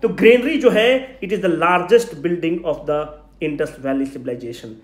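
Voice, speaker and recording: A man's voice: a little high, extremely slow. A man teaches an exam class in an echoey room. He speaks to students directly and informally, posing questions and then answering them himself. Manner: switches between Hindi and English. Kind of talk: energetic lecture to exam students.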